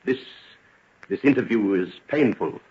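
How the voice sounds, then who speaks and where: Uptight impatient lawyer gent, old radio drama, lots of pitch movement, muffled crackly recording. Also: male voice, animated, measured pace. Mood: strained formal. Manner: formal, clipped, indignant. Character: stiff, impatient gentleman lawyer, a disapproving father. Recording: dull, noisy old radio recording with clicks.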